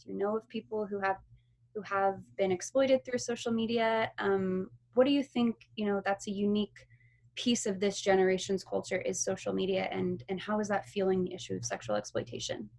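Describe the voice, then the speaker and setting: A woman's voice: medium pitch, steady tone, fairly quick, gravelly, a little mellow. Young woman moderating a panel. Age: young adult. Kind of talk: asking panel questions.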